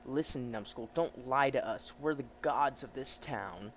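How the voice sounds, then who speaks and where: A man's voice: mid-range voice, unhurried, slight background noise, natural voice. A man voicing a bullying, corrupt 1930s New York policeman from a comic, sneering and threatening in slang.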